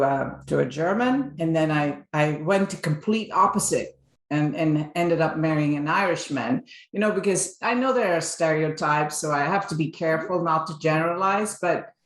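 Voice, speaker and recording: Belgian-born woman guest, lower voice, lots of um and restarts, sounds roomy and echoey. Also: moderate pace. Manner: chatty storyteller with frequent fillers and restarts. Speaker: guest, marketing executive. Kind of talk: casual interview talk.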